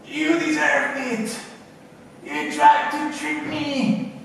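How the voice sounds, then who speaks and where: Man playing a slow, high-voiced vengeful witch. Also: steady tone, creaky, heavily acted. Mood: scornful. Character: vengeful witch who curses wheat and children. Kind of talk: live puppet show acting. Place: arts centre puppet show performance. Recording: very echoey hall, distant mic, faint noise.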